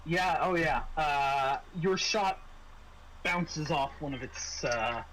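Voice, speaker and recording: A man acting as game master, explaining a tabletop game casually in a halting way. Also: fairly high pitch, a bit bright. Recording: clearly noisy room recording.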